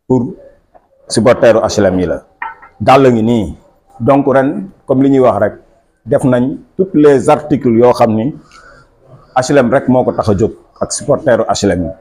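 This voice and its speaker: A man with a Senegalese accent, the club's marketing officer, presenting team merchandise to camera in a steady selling tone. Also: mid-range voice, normal speed, long pauses, husky, low-quality recording. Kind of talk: promotional product presentation. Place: small office indoors.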